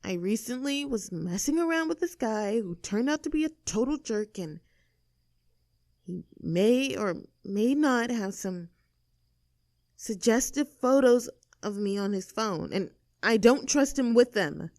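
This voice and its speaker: A woman's voice: fairly high pitch, expressive, unhurried, a little mellow, no echo. Bratty young mean girl, acted by a woman, close and dry. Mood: confiding, guarded. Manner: bratty, sarcastic, indignant. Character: snooty, abrasive young mean girl under arrest. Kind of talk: acted audio roleplay drama, addressing the listener.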